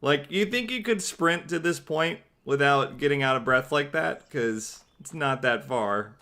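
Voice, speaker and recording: A man's voice: fairly high pitch, lively intonation, a little slow, bright, forceful tone. A man giving sarcastic, joking let's play commentary while playing a game. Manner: sarcastic, dry jokes about the game. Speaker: game streamer.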